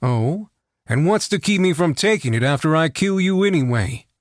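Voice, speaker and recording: A man's voice: on the high side, lively intonation, moderate pace, no echo, pitched above the performer's own voice, natural voice. Man voicing a cold sardonic adventurer. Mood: cold menace. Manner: dry, cold sarcasm. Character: cunning ruthless male adventurer, sardonic.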